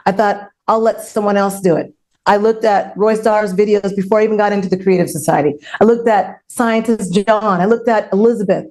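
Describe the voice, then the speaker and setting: A woman's voice: medium pitch, steady tone, fairly quick, darkish tone. Outspoken woman hosting a panel. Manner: outspoken, emphatic, repeats key phrases. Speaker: outspoken host of an online forum panel. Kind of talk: unscripted panel talk, personal testimony.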